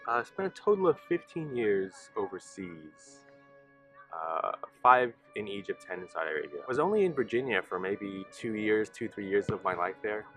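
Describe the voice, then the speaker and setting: A young man with a fairly low but very lively voice and a bright, forceful tone. He answers interview questions in a matter-of-fact way, counting off details precisely. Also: moderate pace, soft background music. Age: young adult. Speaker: interviewee, college senior. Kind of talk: casual documentary interview.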